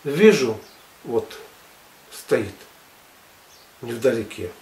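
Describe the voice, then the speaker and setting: A man in his sixties telling a story to his viewers in a colloquial, expressive way, repeating words for emphasis. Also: mid-range voice, drawn-out words. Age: 60s. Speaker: health and gymnastics video blogger. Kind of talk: casual storytelling monologue to viewers. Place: living room at home, indoors. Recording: echoey room.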